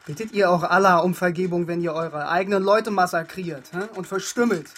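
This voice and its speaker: An actor playing a hardened, vengeful German special forces sergeant in a radio drama, grimly controlled and sardonic. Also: male voice, high voice, brisk, a bit bright. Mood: accusing. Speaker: soldier narrating his story.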